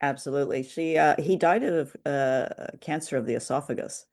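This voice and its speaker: A man's voice: on the high side, normal speed, darkish tone. A man hosting a casual two-person review show, talking in a hesitant, conversational way. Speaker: show host. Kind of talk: casual two-person review chat about old radio drama.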